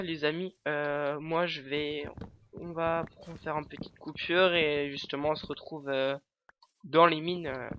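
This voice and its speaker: A man's voice: on the high side, a bit bright, a little hiss or hum. A man hosting a let's play with a stuffy nose from a cold, talking through his game in hesitant, filler-heavy rambles. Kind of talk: casual gameplay commentary.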